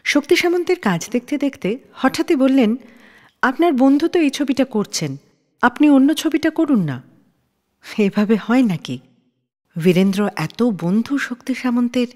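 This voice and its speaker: A woman's voice: a little high, animated, normal speed, darkish tone. A woman telling a scripted story as a radio show host. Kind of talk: scripted radio storytelling narration about film history. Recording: long reverb on the voice.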